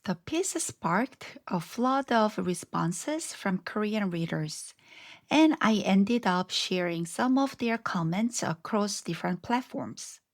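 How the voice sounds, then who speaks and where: A middle-aged woman hosting her podcast, reading scripted narration in a reflective, unhurried way, her voice a little scratchy from illness. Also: medium pitch, animated, no echo, clean studio sound. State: slightly scratchy voice after strep throat. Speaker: podcast host.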